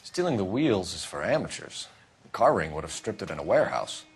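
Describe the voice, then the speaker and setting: A man's voice: deep voice, sing-song, fairly quick, slightly rough, heavily acted. An actor playing a serious, formal, by-the-book male police detective in a video game cutscene. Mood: businesslike.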